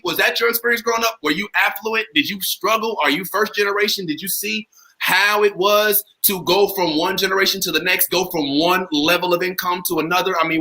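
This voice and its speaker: A man from St. Louis with an American accent hosts a casual talk show. His voice sits high and is very sharp and bright, and his phrasing is slangy and conversational. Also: poor audio. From St. Louis, Missouri, US. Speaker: podcast host. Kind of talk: casual chat.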